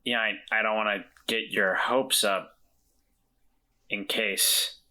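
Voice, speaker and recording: Guy playing a tabletop game, lowish voice but bright and punchy, kinda hesitant. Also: fairly even intonation, takes it slowly, rough recording. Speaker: player. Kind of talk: in-character tabletop play.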